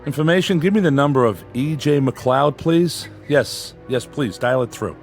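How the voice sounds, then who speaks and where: An actor playing a driven, suspicious newspaper editor in a radio drama, curt, testy and quick to get defensive. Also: male voice, on the high side, close to an everyday voice. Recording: radio drama with music underneath.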